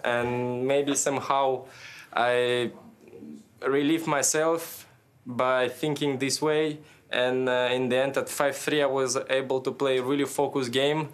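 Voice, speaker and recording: A young man in his twenties talks through his match over a video link from a press room, and his pitch sits right in the middle of the male range. He rambles and hunts for the right words, restarting sentences as he goes. He laughs now and then, but the delivery stays loose and unscripted. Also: little pitch movement, a little slow, clear, resonant tone. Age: 20s. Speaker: tennis player. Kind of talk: post-match interview. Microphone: remote video link, head and shoulders.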